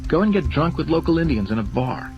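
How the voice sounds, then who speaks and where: A man's voice: a little high, big pitch swings, fast, voice pushed up. A man voicing a blunt, cynical younger anthropologist with an outspoken, sardonic manner. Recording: dull lo-fi audio under music.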